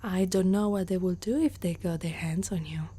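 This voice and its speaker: A hushed, breathy, low-toned female centaur warrior, acted by a woman from Italy. She is an older, battle-worn guardian, protective and haunted by loss. The delivery is gentle, slow and earnest, with a soft mellow tone suited to whispered ASMR roleplay. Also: steady tone. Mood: gentle, curious.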